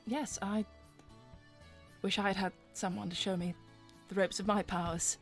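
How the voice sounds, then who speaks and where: A woman plays a young cartoon cat princess, courteous and guarded, in a medium-pitched voice. Her phrasing is formal and careful, with apologetic hesitations, as in live improvised roleplay, and quiet music sits behind her. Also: steady tone, normal speed, close to an everyday voice. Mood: polite, uneasy. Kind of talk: improvised in-character livestream roleplay.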